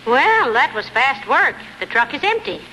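A woman playing a sensible, folksy wife who chats and gently scolds in a 1940s radio comedy. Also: high-pitched, sing-song, normal speed. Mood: chatty. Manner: homely, chatty, gently scolding. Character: sensible, folksy wife, good-naturedly exasperated. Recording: faintly noisy 1940s radio recording.

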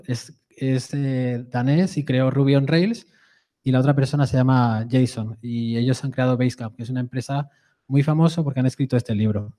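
Madrid-accented man giving a mellow talk. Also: mid-range voice. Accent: Madrid Spanish. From Madrid, Spain. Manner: conversational, often adds a questioning "¿no?". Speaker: conference speaker. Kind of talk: live presentation talk.

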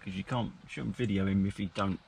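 A man's voice: deep voice, fairly even intonation, quick pace, vocal fry, darkish tone, slight background noise. A man driving a car and bantering bluntly, with some swearing. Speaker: friend driving. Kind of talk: casual banter.

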